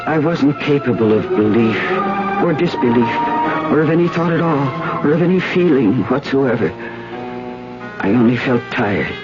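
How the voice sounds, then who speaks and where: A woman burdened by guilt, confessing gravely in a 1970s radio drama. Her delivery is hushed and intense. The old broadcast sounds muffled, with loud music underneath. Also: rumbling low, expressive, moderate pace. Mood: weary, grave.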